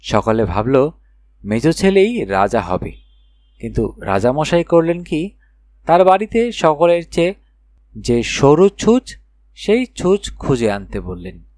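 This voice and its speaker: A man narrating a children's fairy tale audiobook in an expressive storytelling style. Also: on the high side, slow pace, long pauses, no room sound, studio quality.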